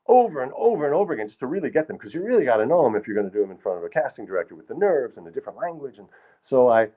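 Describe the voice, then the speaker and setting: A man's voice: medium pitch, very animated, very fast, phone-quality sound. Self-deprecating man telling stories onstage. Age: middle-aged. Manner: rambling, self-deprecating, many restarts. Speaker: storyteller performer. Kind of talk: live comedic storytelling. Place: small hall with live audience.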